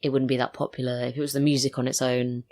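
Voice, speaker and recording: A woman's voice: a bass voice, flat delivery, quick pace, darkish tone. A British woman chatting casually and reflectively as a podcast guest, telling anecdotes about her work. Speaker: podcast guest, audio drama writer and voice actor. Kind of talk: casual interview chat. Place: studio.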